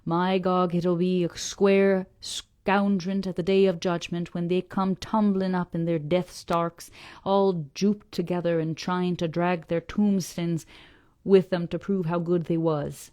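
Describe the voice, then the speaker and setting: Lady doing a preachy old fisherman, slow and dragged out, mellow lowish voice. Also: little pitch movement, dry recording, clean studio sound, lightly acted. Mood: scornful. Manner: slow, dragged-out dialect speech. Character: old male fisherman, gruff, scornful, preachy.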